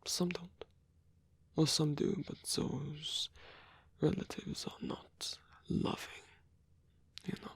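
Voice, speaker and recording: Casting: male actor as ancient vampire, husky close whisper, wistful and flirtatious, halting delivery, intimate ASMR. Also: a little high, fairly even intonation, dry recording, heavily acted. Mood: earnest, reflective. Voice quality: breathy, whispery. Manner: halting, murmured, pensive.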